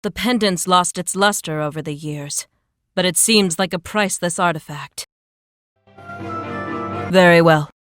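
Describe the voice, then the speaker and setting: A woman's voice: fairly low pitch, a little slow, pauses often, a bit bright, dry recording, faint music behind. An actress playing a cool, reserved young female knight in a video game, speaking in a guarded, formal way.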